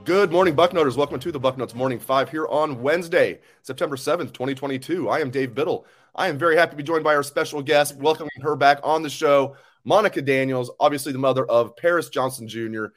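A man hosts a sports podcast in a casual interview. He talks quickly and rambles, joking and correcting himself as he goes. His pitch sits in the middle range. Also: soft background music.

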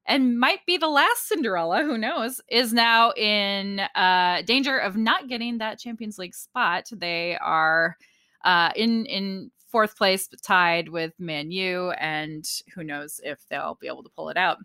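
A woman's voice: medium pitch, measured pace, bright, forceful tone, no echo, clean studio sound. A woman hosting a casual sports chat, giggly and easily amused. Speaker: sports podcast host.

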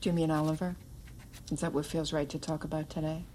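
An actress playing a careful, probing psychiatrist, measured and questioning, in a naturalistic audio drama. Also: female voice, low-pitched, little pitch movement, dark tone. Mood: calm, probing.

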